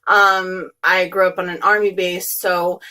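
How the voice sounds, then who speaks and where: A woman's voice: on the low side, little pitch movement, a little slow, pushed and bright, low-quality recording. A young American woman with no regional accent, chatting casually to camera in a vlog, rambling with lots of ums and restarts. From United States. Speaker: beauty vlogger.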